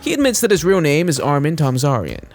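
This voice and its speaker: A man narrates a scripted video in a fairly high voice with lively intonation. His delivery is clearly enunciated, like someone telling a story, and music plays behind him. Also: brisk. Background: background music.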